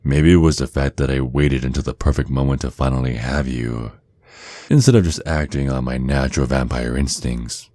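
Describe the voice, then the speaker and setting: A man playing a menacing, possessive vampire neighbor in an intimate ASMR roleplay, speaking in a slow, teasing, seductive way straight to the listener. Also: rumbling low, mellow, no echo. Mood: smug, hungry. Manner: slow, teasing, deliberate, with breathy drops toward a whisper.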